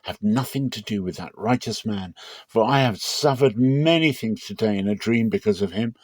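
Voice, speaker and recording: A man's voice: fairly low pitch, normal speed, dry recording, lightly acted. A man voicing a governor's wife who gives an urgent warning.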